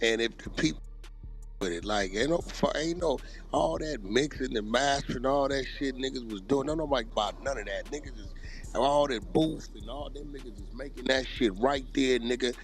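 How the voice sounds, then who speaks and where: A man's voice: mid-range voice, normal speed. A man in a heated podcast debate, talking bluntly and profanely about the music business.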